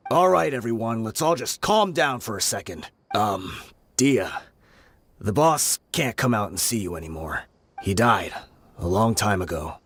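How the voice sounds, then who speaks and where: A man playing a weary, level-headed desert brigand in a video game, patient and regretful, telling things in a measured way. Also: on the low side, lively intonation, a bit bright, slightly rough, exaggerated voice. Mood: calming, grave. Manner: measured, explanatory storytelling. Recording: music behind the voice. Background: game music.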